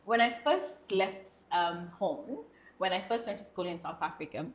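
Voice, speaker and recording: A woman's voice: on the low side, normal speed. Earnest young woman in an echoey room. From South Sudan. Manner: earnest, expressive, laughs often, animated when speaking with pride. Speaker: guest. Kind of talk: reflective interview conversation.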